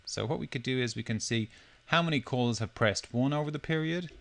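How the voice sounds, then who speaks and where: A man presenting a software demo, talking through it step by step in a loosely unscripted, explanatory way. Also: mid-range voice, fairly quick, gravelly. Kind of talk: step-by-step screencast tutorial narration.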